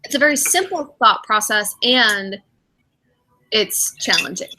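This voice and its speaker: Woman, middle-aged, podcast host, reflective, talks through her inner thoughts, bright, slightly high voice. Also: animated, a little slow, rough recording. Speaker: podcast host and spiritual director. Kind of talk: casual conversational chat.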